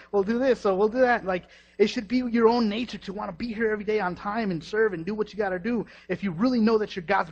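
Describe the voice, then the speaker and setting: A young man preaching with a husky, fairly high voice and emphatic, animated delivery. He calls out to his congregation over a dull, lo-fi recording that rounds off the highs. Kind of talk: energetic sermon.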